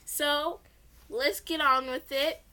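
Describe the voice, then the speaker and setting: Bright, highish-voiced young woman reading casually. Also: fairly even intonation, slow pace. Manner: rambling, self-deprecating, informal asides. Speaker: amateur story narrator. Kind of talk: casual chatty read-along of own story.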